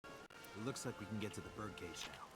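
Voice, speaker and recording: A man's voice: on the low side, fairly quick, music in the background, natural voice. An actor voicing a wry, skeptical male detective in a video game, with a dry, questioning manner. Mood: wry, curious.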